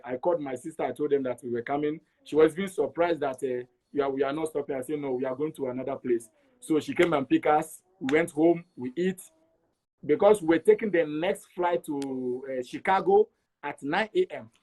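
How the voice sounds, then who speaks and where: Higher-voiced Togolese man telling his story. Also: poor audio. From Togo. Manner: repeats words for emphasis, acts out quoted dialogue. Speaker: interview guest. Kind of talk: animated personal storytelling.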